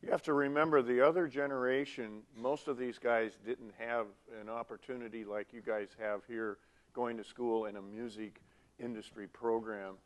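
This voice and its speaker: A man's voice: mid-range voice, fairly even intonation, normal speed. A middle-aged man, a professor, reflecting and lecturing students at a panel. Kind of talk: panel discussion.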